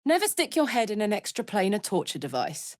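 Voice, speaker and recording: A woman's voice: medium pitch, big pitch swings, a little fast, no room sound, studio quality, lightly acted. A woman voicing a brash, big-hearted, hot-tempered warrior in a fantasy video game, speaking bluntly, informally and with open emotion. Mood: wry, casual.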